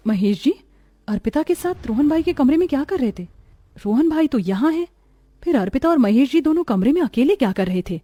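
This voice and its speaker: A high, melodramatic voice of a jealous young wife acted by a woman in a cartoon family drama. Every phrase is pressed hard with suspicion and fiery accusation. The sound is dry and close, with no room around it. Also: lively intonation, a little fast, mellow, no room sound, muffled recording. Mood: suspicious, anxious. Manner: emphatic, melodramatic.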